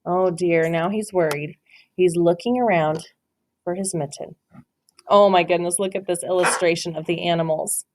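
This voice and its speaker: A woman reading a picture book aloud to a child, stopping to ask questions, gentle and encouraging with dramatic storytelling. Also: fairly low pitch, fairly even intonation, moderate pace, slight background noise.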